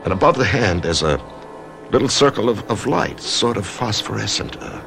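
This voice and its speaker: An actor playing a dazed male writer who narrates and wakes from surgery, in radio drama style, with a hushed, halting voice. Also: on the low side, lively intonation, faint music behind. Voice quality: gravelly, hushed. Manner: halting, stammering when shaken. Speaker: narrator and lead character.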